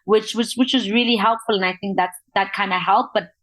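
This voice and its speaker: A young woman from Lesotho with a faint accent that mixes Southern African and British sounds. She is a podcast guest who tells her story candidly, animated and laughing often. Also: medium pitch, a little fast, no room sound. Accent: faint mixed Southern African and British accent.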